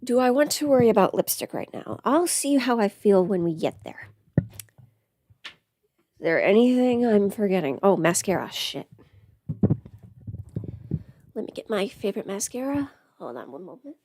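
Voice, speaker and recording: A woman's voice: medium pitch, big pitch swings, moderate pace, pauses often, a little mellow, dry recording. A woman thinking aloud in a casual, rambling livestream chat as she gets ready, swearing lightly. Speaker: livestream host.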